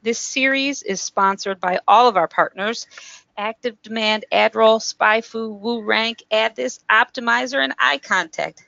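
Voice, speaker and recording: Bright, gravelly-voiced woman hosting a webinar. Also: on the high side, measured pace, no echo. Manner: upbeat, reads housekeeping notes. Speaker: webinar host. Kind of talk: scripted host introduction. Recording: dry, dull lo-fi webinar audio.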